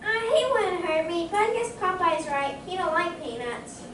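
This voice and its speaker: Squeaky-high curious cartoon child, noisy echoey stage recording. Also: female voice, normal speed, a bit bright. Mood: eager. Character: eager, sweet, curious little kid in cartoon radio play. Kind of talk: old radio comedy acting. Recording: echoey stage, far mic, clear noise.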